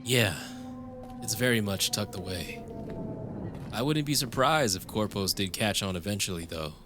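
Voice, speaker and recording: A man's voice: lowish voice, lively intonation, moderate pace, music in the background, lightly acted. A man playing a tender, wry young lover in an intimate cyberpunk romance audio drama, teasing and a little hesitant. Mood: affectionate, wry. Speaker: audio roleplay voice actor.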